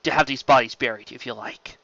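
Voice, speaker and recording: A man's voice: mid-range voice, a little fast, creaky, bright, forceful tone, dry recording, muffled recording. A man voicing a concerned, authoritative starship captain in a science fiction story. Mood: reassuring.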